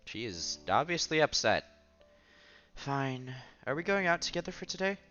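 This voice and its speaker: A man's voice: fairly high pitch, sing-song, moderate pace, pushed and bright. A man streaming a visual novel, reading the story text aloud with casual asides and the odd stumble. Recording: dull lo-fi sound with game music behind. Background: visual novel background music.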